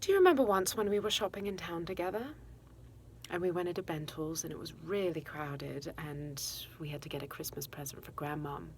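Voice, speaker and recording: Woman performing regretful mother, lowish pitch, quick-tempered, halting confessional delivery, dramatic audition monologue. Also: slight background noise. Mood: quiet, remembering.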